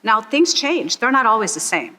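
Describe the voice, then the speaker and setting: A woman's voice: fairly high pitch, big pitch swings, moderate pace, bright, forceful tone. A middle-aged woman from Croatia, speaking earnestly as a charity supporter in an interview. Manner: earnest and heartfelt. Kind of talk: interview testimonial.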